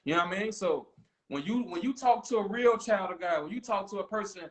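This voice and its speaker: High-voiced man preaching casually. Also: quick pace. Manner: emphatic, repeats "you know what I'm saying". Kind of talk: casual spiritual talk.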